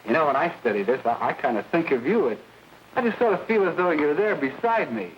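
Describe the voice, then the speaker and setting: An actor playing a wholesome, bashful high school boy in love, with boyish gee-whiz manners, in 1930s film style. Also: animated, fast talker, lo-fi sound, natural voice. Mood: tender, romantic. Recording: hissy 1930s film soundtrack.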